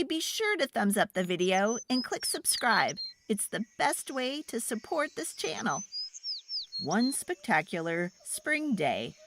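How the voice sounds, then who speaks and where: Very animated woman reading a picture book. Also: a little high, background noise. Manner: animated, dramatic storytelling. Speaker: read-aloud storyteller. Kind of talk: expressive children's picture book narration.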